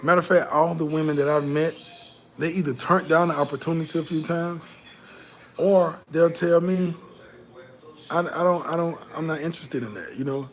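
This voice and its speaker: Blunt, animated man talking straight. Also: a little high, moderate pace, rough recording. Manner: blunt, profane, repetitive fillers. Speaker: vlogger. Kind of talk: casual commentary to camera.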